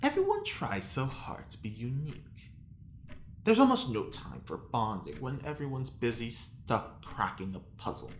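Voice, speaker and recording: An actor playing a smug, flirtatious test subject with a theatrical, needling manner in an audio drama. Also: male voice, medium pitch, huge pitch swings, normal speed, echoey room, a little hiss or hum.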